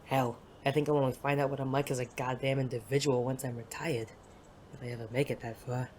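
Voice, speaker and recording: A performer playing a world-weary, self-doubting male private eye and war veteran in an audio drama, gruff, hesitant and prone to swearing. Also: mid-range voice, flat delivery, a little fast, a little mellow, lightly acted. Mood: weary, reluctant. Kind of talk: intimate audio drama dialogue. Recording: clearly noisy background ambience.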